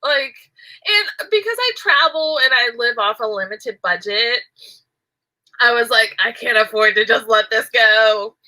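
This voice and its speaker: A woman's voice: on the high side, animated, measured pace, bright, forceful tone, rough recording. A woman chatting casually while she crochets, rambling and giggling, her nose stuffy and nasal from allergies. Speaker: crochet vlogger.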